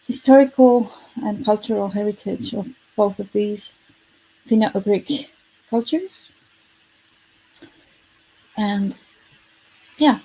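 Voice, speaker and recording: A woman presents her work live online. Her voice is warm and slightly husky. She is earnest and often stops to correct herself. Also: medium pitch, poor audio. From Estonia. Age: 30s. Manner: earnest, self-correcting, lightly self-deprecating. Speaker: futurist presenting her product.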